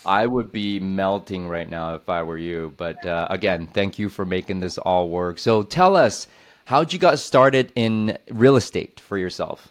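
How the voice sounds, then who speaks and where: A man's voice: sits low, measured pace. A man hosting a podcast interview, effusive and hesitant, with many fillers. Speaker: podcast host.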